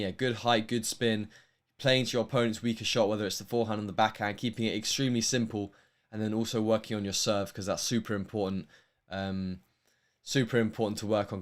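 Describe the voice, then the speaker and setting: Young man with a posh London accent. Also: fairly low pitch. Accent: posh London English. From England. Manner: rambling, frequent ums and restarts. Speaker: tennis livestream host. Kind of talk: casual livestream chat answering viewer questions.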